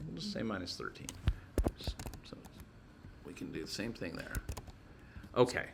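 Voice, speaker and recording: A man's voice: low voice, wildly swooping pitch, measured pace, gravelly, whispered. A man teaching a casual hands-on technical lesson, informally thinking aloud as he works through each step.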